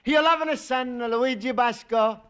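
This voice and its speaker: A man's voice: very high-pitched, a little slow, fairly bright tone, clean tone. An actor playing a sentimental Italian immigrant man who speaks broken English with an Italian accent, from a vintage 1950 radio broadcast. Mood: tender. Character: warm-hearted middle-aged Italian immigrant man.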